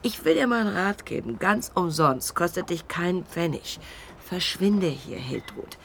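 Gruff, world-weary shopkeeper woman. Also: fairly low pitch, expressive, moderate pace, a bit bright, slight background noise. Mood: weary, grumbling. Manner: blunt, colloquial, grumbling. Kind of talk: German radio crime drama acting.

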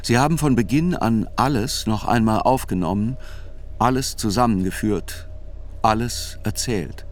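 An actor as a sober chronicler, narrating a radio drama in reflective, deliberate literary phrases. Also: male voice, fairly low pitch, a little hiss or hum, close to an everyday voice. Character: sober male chronicler narrating a criminal trial.

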